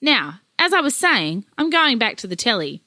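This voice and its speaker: A woman voicing a lazy, grumpy old grandfather, gruff and dismissive. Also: on the high side, big pitch swings, normal speed, pushed and bright, dry recording. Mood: smug.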